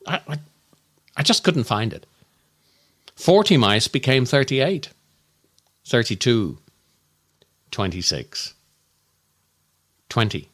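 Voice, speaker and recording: A man delivering a scripted comic monologue as a storyteller, with dramatic, deliberate and darkly comic delivery. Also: mid-range voice, sing-song, fairly bright tone. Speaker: comedian and podcast host.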